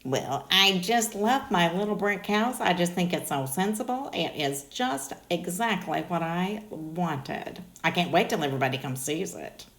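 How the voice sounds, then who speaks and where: A woman's voice: lowish voice, lively intonation, unhurried, hardly any pauses, a bit bright. Woman as a prim sensible brick pig. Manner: prim, self-satisfied. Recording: echoey room.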